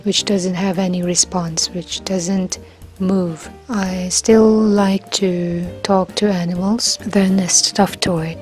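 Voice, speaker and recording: A woman from the Philippines, talking slowly and softly about learning languages, sometimes dropping to near-whispers. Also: medium pitch. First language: Filipino. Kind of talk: slow reflective monologue.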